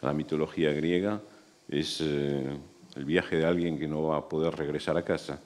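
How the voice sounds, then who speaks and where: A man hosting a festival press breakfast, introducing guests and asking measured, formal questions. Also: a bass voice, fairly even intonation, darkish tone. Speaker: festival interviewer.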